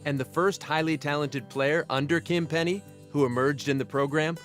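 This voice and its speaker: A man's voice: fairly high pitch, fairly even intonation. Man narrating a tribute over music. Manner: measured, formal read. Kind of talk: scripted narration.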